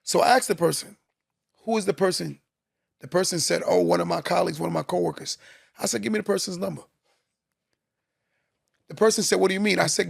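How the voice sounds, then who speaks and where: High gravelly guy preaching on a live podcast, dry close sound. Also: no echo. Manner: preachy, repeats key lines for emphasis. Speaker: podcast host. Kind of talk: motivational live-stream talk.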